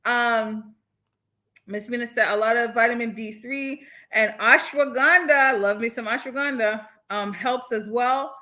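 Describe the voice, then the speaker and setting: Bright-voiced American woman, high and candid. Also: unhurried. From born in Jamaica, moved from the United States. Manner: animated, candid, slangy. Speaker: livestream host. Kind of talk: casual live chat, reading and answering viewer comments.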